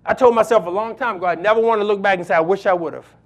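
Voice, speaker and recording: A man's voice: high voice, rapid-fire. A male pastor preaching a sermon, emphatic and exhorting, repeating key phrases for weight.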